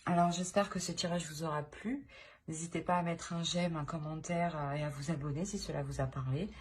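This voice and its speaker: A woman with a low-pitched voice gives an informal tarot reading. She talks hesitantly, thinking aloud and letting phrases trail off. There is some background noise on the recording. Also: steady tone, fairly quick. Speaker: tarot reader and channel host. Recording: clear background noise, clicks.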